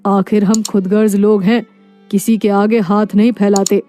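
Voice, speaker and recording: A woman voicing a humble, proud poor husband in a moral story, calm and earnest. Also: medium pitch, unhurried, faint music behind, voice pushed down, natural voice. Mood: reassuring. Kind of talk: animated moral story dialogue.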